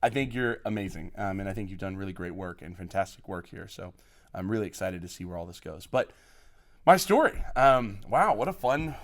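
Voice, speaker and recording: A podcast guest from Oklahoma tells his life story with eager, effusive enthusiasm. His voice sits a little low, and his sentences are dotted with fillers. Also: expressive, fairly quick. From Oklahoma, USA. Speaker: podcast guest, college program lead. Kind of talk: podcast interview, personal storytelling.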